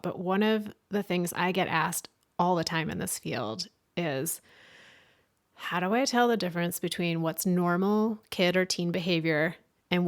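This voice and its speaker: Woman host, low-pitched, casual interviewer, laughs lightly at herself. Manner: warm, self-deprecating, laughs lightly. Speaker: podcast host. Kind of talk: conversational interview.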